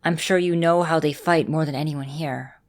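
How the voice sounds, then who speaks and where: A woman voicing a caring, composed vampire mistress and mentor in an ASMR roleplay, speaking directly to the listener in measured, formal phrasing. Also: sits low, fairly even intonation, close to an everyday voice. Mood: calm, instructive. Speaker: voice actor in a roleplay audio.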